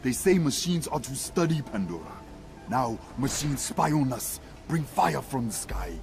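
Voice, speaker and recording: A scornful alien warrior for a video game. His speech is formal and terse, with dramatic swings in pitch. Faint music plays underneath. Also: sing-song, a little slow, creaky. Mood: stern, dismissive. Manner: stern, terse, formal pronouncements. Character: proud, distrustful alien Na'vi warrior, stern and scornful. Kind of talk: video game cutscene acting.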